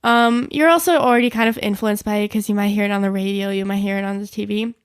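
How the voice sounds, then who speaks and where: A woman's voice: medium pitch, steady tone, quick pace, clear, resonant tone, no echo, studio quality. A young woman from German-speaking Switzerland, whose first language is Swiss German, co-hosting a podcast in casual, chatty talk with frequent laughs. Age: young adult. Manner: chatty, laughs while talking, many fillers. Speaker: podcast co-host. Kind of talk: casual chat.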